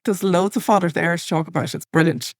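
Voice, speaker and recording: A woman's voice: lowish voice, brisk, dry recording, studio quality. A talkative, witty woman in her fifties with an Irish accent, from the west of Ireland, chatting casually and anecdotally as a podcast guest. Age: 50s. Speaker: podcast guest, comedy writer.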